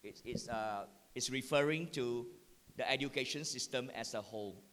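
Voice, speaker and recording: A man's voice: mid-range voice, moderate pace, a bit bright. A man with a Singaporean accent giving a talk to teachers, repeating key points and checking in with the audience with "yeah?". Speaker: education speaker. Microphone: handheld mic. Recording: hall with long reverb.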